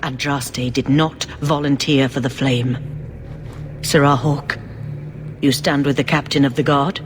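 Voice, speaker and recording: A man playing a stern, dignified religious authority in a fantasy video game, speaking in a measured, formal way. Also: mid-range voice, fairly even intonation, long reverb tail, noisy background, close to an everyday voice. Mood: grave, reproving.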